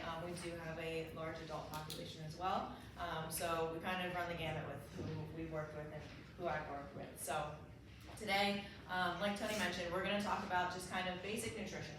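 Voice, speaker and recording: A woman, a dietitian with a low voice, giving a friendly conversational nutrition lecture and inviting questions. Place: event room at a country club. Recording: very echoey room, distant, background noise.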